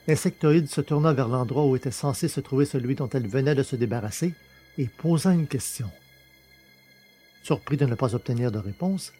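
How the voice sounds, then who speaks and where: A man's voice: mid-range voice, dark tone, husky. A man reading a science-fiction novel as a scripted, dramatic audiobook narration. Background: music.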